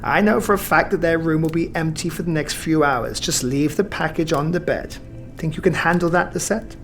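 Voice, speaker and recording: A suave, older paternal figure in an audio drama, secretive yet tender. He speaks in measured, formal, affectionate phrases. Music plays under his voice. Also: a little high, a bit bright. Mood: smooth, businesslike.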